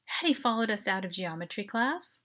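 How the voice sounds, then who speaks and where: A woman reading a first-person short story as its author, in an expressive, dramatic narration style. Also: medium pitch, normal speed.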